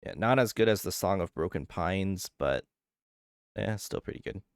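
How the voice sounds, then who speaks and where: A young man giving casual gameplay commentary, low-key and thinking aloud as he goes. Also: lively intonation, moderate pace, dry recording, clean studio sound. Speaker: gaming video creator. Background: game music.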